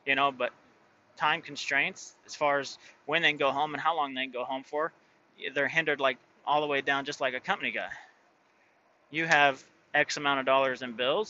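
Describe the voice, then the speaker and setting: A man's voice: medium pitch, bright, forceful tone, dull audio, slight background noise. A man who drives his own truck, talking casually and rambling, full of fillers and restarts. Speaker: truck driver and owner operator. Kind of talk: casual vlog talk.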